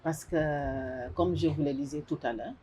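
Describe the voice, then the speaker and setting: Low, dark-voiced Senegalese woman. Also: a little hiss or hum. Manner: measured, thoughtful, with frequent hesitations. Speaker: interview guest. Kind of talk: casual interview talk mixing French and Wolof.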